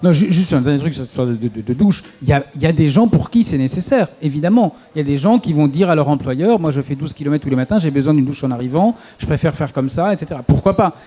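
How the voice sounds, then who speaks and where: A man speaking on a conference panel, rapid and stumbling over repeated words. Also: a little high, lively intonation, mellow, husky.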